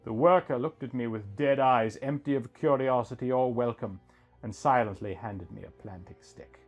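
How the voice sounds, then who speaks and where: Husky man narrating a story over music. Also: medium pitch, normal speed. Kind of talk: scripted first-person story narration. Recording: music bed under the voice.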